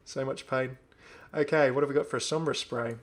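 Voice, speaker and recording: A man's voice: mid-range voice, fairly even intonation, a little fast. A man hosting a gaming video, commenting casually and enthusiastically as he thinks aloud. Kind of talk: casual game update commentary.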